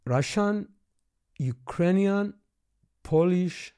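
A man's voice: sits high, drawn-out words, warm tone, clean tone. A man with a Greek accent, teaching history in a casual lesson, eagerly explaining and thinking aloud as he points things out.

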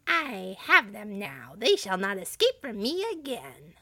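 Woman playing old storybook witch, malicious, creaky, scolding, drawn-out menace, dry recording. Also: on the high side, very animated, sharp tone, no room sound, pitched above the performer's own voice, full character voice. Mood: gloating, sneering. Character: wicked old witch, malicious, sneering, bullying.

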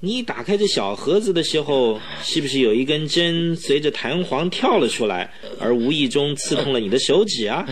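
A man's voice: fairly high pitch, very animated, normal speed, few pauses, crowd noise. A man acting in a radio drama as a smug, cruel villain, a murderer who gloats and taunts his victim. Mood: domineering. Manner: taunting, smug, self-satisfied.

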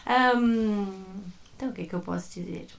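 A young woman in her late twenties speaks with a northern Portuguese Porto accent. She is a podcast guest, chatty and quick to joke, and her voice carries some tiredness. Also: medium pitch, vocal fry, slight background noise. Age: 20s. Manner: chatty and joking, laughs easily. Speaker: guest, history teacher. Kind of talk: casual podcast interview.

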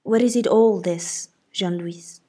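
A stern older aunt who scolds in a raised, clipped voice, put on by a woman reading aloud. She is strict and quick to threaten. There is a slight breathiness to the tone. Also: medium pitch, husky. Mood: scolding. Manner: sharp, scolding and loud.

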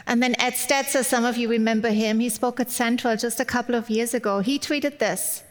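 A woman's voice: a little high, steady tone, a little fast. A middle-aged woman from Germany with a German accent, giving a personal, lightly humorous church sermon as a guest speaker. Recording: long hall-like reverb.

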